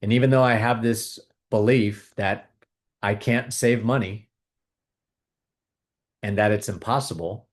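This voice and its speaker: A man hosting a video, calmly guiding viewers through a tapping exercise with repeated phrases. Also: fairly low pitch, unhurried, long pauses. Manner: calm, repetitive, coaching tone. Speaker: host leading a tapping exercise. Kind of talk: guided tapping exercise and money talk.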